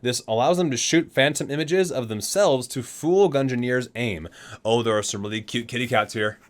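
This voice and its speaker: A man's voice: medium pitch, moderate pace. A man hosting a gaming ASMR video, reading entries aloud and dropping into casual, amused asides.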